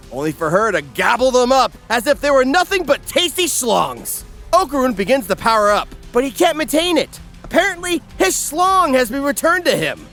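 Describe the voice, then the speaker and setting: Guy doing a crude sardonic recap narration, really high voice, swoopy and theatrical, music under it. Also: sharp tone. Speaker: narrator and host. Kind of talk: scripted comedic recap narration. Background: background music.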